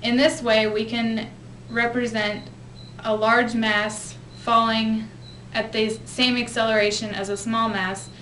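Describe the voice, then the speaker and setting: A woman's voice: mid-range voice, a bit bright. Female educational narrator, scripted read, echoey amateur recording with faint noise. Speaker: physics explainer. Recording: roomy, distant, noisy amateur recording.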